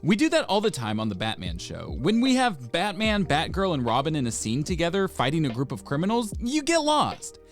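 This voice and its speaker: A man's voice: a little high, huge pitch swings, fairly quick, hardly any pauses, soft background music. A man reading out the interview words of a male animation producer, plain-spoken and explanatory. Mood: matter-of-fact, candid. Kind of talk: read-out interview quote.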